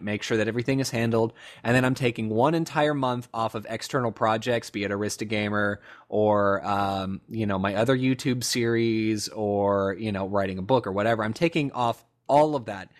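A man's voice: on the low side, brisk, hardly any pauses. Man musing aloud on a podcast. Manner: musing, thinks aloud with fillers and restarts. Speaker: podcast host. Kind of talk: casual chat.

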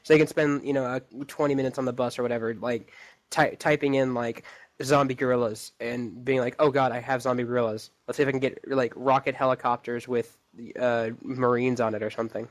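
A man's voice: medium pitch, fairly even intonation, fairly quick. A man co-hosting a casual gaming podcast, rambling with many fillers. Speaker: podcast co-host. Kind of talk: casual chat.

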